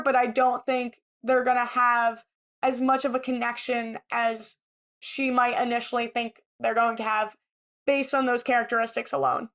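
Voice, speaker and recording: A woman's voice: high voice, fairly bright tone. A woman co-hosting a podcast, chatting casually and giving her opinions freely. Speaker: podcast co-host. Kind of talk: casual chat, reality TV recap.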